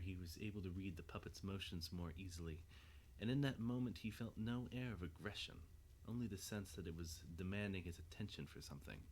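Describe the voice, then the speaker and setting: A man's voice: low voice, slight background noise, rough recording. A man narrating a scripted audio drama as its storyteller.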